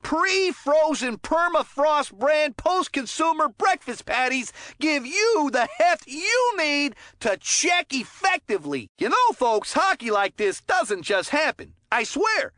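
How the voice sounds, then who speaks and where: An actor playing a cheerful, folksy and excitable hockey commentator in a kids' video game, chatty and eager. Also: high-pitched, takes it slowly, few pauses, no room sound, studio quality, full character voice. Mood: chipper, chatty. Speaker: sports commentator. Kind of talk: animated sports game commentary.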